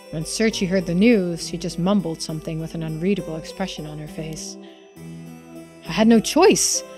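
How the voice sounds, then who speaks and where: A woman's voice: fairly low pitch, lightly acted. A woman voicing a guilt-ridden, determined schemer in a mystery game, reading the dialogue aloud with earnest theatricality. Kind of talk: dramatic read-aloud of visual novel dialogue.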